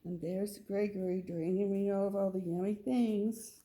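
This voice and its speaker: A woman's voice: medium pitch, steady tone, a little slow, mellow, slight background noise. A woman reading a picture book aloud to children in a storytelling style.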